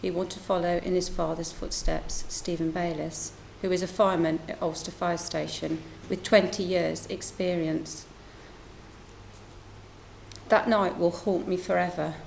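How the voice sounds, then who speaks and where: A middle-aged woman reads a prepared statement as a bereaved mother at a press briefing. Her voice sits fairly low, and there is some room noise in the recording. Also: little pitch movement, a little slow, gravelly, darkish tone, low-quality recording. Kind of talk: reading a prepared personal statement at a media briefing.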